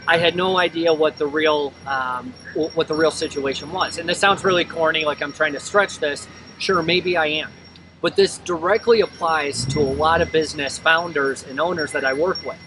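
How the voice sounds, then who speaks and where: An American man with a slightly raised voice talks bluntly and preachily, poking fun at himself as he drives home a business lesson. He sits well back from the mic, and outdoor noise with passing vehicles comes through clearly behind him. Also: on the high side, far from the mic. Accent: General American. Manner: blunt, self-mocking, preachy. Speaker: entrepreneur vlogger. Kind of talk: casual motivational monologue.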